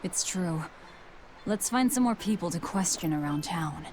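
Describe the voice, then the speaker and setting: A woman's voice: on the low side, expressive, a little slow, background noise. An actress playing a tough, guarded young woman in a video game, blunt and commanding with a dry manner. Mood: stern.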